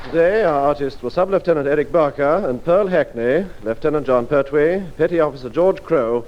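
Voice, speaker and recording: A man's voice: on the high side, lo-fi sound, slight background noise. A man reading a scripted announcement as a 1940s radio announcer. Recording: band-limited 1940s radio recording. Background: loud music.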